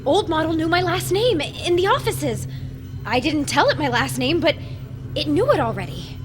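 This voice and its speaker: High-voiced, earnest, insistent young woman. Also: sing-song. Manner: urgent, pleading, halting. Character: Alex, earnest, insistent young woman haunted by buried memories. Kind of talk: scripted audio drama acting. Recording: music bed under the voice.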